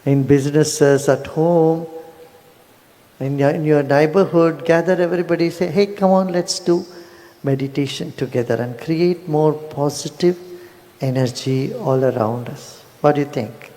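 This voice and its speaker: A man leading a guided meditation, then chatting with a crowd in a soft, slow manner with small "huh?" asks. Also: a little high. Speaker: meditation teacher. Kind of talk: guided meditation and public address.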